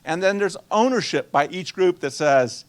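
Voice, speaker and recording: A man in his sixties, born in Guatemala and a native Spanish speaker, teaches a room of people about money. His voice sits a touch high for a man. He keeps it chatty, tossing questions at the crowd and slipping in wry jokes. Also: animated, moderate pace. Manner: chatty, quizzes the audience and drops dry jokes. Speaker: nonprofit finance presenter. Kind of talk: conversational seminar talk to a live audience.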